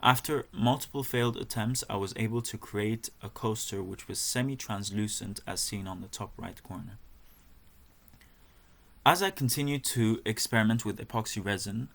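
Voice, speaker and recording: A young man with a fairly low voice walks through his art projects like a student presentation. He speaks in a measured, careful way, sometimes pausing on a filler or restarting a phrase. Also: creaky, a bit bright. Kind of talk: reading a presentation.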